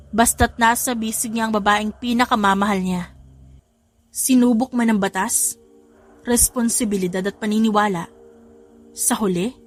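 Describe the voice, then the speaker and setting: A woman with a Filipino accent reading scripted dramatic story narration as a narrator, with music behind her. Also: medium pitch.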